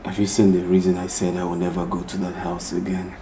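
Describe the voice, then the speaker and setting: An actor playing a protective older brother who speaks calmly and reassuringly. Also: male voice, deep voice, fast, background noise. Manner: calm, reassuring. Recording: echoey room with music.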